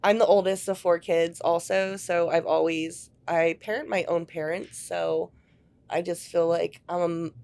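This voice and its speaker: A woman with a slightly low voice talks about her life in a heartfelt, halting way. She pauses often and fills the gaps with small fillers. The tone is plain and sincere, like a chat across a table. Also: fairly even intonation, vocal fry, darkish tone. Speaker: ministry volunteer. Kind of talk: casual interview talk.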